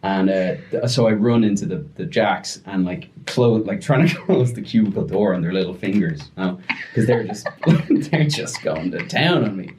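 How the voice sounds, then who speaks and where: A young man telling a story casually on a podcast, animated and breaking into voices as he retells it. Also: sits low, normal speed, slight background noise. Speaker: podcast guest.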